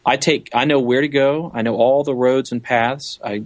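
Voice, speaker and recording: A man's voice: mid-range voice, fairly even intonation, normal speed, no room sound. Enthusiastic man, dry close sound. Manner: enthusiastic, explanatory. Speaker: interview guest, safari photographer and guide. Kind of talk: conversational interview answers.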